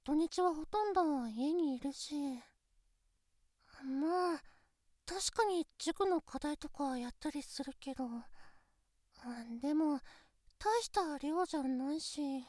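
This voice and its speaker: A woman playing a shy teenage girlfriend, very high voice. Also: takes it slowly, pauses often, dry recording, clean studio sound. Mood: musing. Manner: soft, intimate, pillow-talk delivery. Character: shy, insecure teenage girlfriend, female classmate. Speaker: voice actress. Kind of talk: Japanese situation voice drama. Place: studio.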